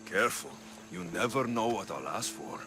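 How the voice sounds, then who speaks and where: An actor playing a rugged, confident mercenary in a video game, blunt and wry. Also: male voice, fairly low pitch, lively intonation, moderate pace, over music, lightly acted. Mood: tender, teasing. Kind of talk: video game cutscene acting.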